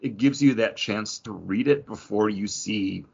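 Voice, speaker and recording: Low-voiced, enthusiastic man hosting casual chat. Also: a little slow, vocal fry, a little mellow, slightly rough, lo-fi sound. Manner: enthusiastic, rambling. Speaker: podcast host. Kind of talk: casual chat about games.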